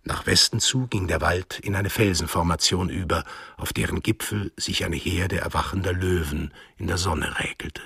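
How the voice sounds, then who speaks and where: A man narrating a literary radio drama in German as a grave, measured storyteller. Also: low-pitched, steady tone, creaky, fairly bright tone, slightly rough, dry recording, studio quality, exaggerated voice. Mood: descriptive. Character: solemn storyteller narrating a literary eco-thriller.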